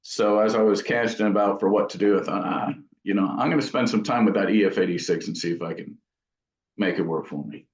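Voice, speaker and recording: A man's voice: fairly low pitch, fairly quick, slight background noise. A man being interviewed as an amp builder, talking casually in thoughtful, rambling explanations. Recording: dull, echoey room sound.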